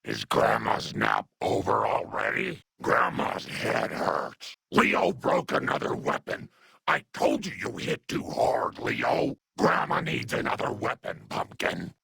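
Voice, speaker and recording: A man voicing a doting, scolding, unhinged elderly mutant grandmother in a video game, with a gravelly, husky, breathy voice and cooing pet names. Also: fairly low pitch, wildly swooping pitch, takes it slowly, bright, forceful tone, no room sound, full character voice. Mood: weary, unwell. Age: older. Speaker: video game companion character. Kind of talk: video game character acting.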